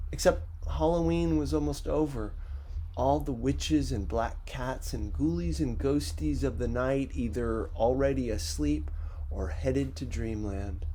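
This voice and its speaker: Guy reading a story audiobook, kinda husky and dark toned, takes his time, conversational. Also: medium pitch, slight background noise. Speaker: audiobook narrator. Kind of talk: first-person scripted story narration.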